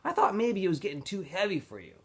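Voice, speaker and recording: A man's voice: high voice, huge pitch swings, fast talker, breathy edge, voice pushed up, heavily acted. A man voicing a stubborn but caring teenage runaway boy in a storybook, speaking in short, casual, clipped phrases. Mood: casual, coaxing.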